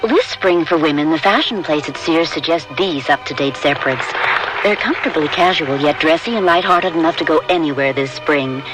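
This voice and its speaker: A man's voice: a little high, brisk, over music, low-quality recording. A man reading a scripted fashion advertisement as an announcer. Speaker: commercial announcer.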